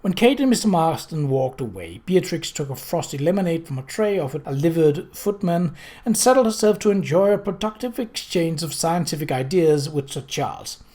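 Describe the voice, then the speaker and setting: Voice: medium pitch, very animated, normal speed, warm tone. A narrator reading a novel aloud as scripted storytelling. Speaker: audiobook narrator.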